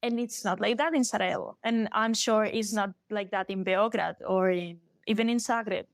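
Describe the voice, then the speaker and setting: A young woman from Galicia with a Spanish accent, a native Galician speaker, chatting as an interview guest, animated and laughing often. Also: mid-range voice, moderate pace, dry recording, clean studio sound. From Galicia, Spain. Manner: animated, laughs often, restarts her sentences. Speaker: guest singer. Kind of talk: casual interview chat.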